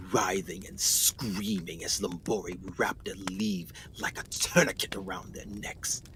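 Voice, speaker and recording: A man's voice: on the low side, a little hiss or hum. A man playing a haunted, guilt-ridden explorer doctor in a radio play, telling his story with theatrical intensity. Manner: theatrical, intense, halting delivery.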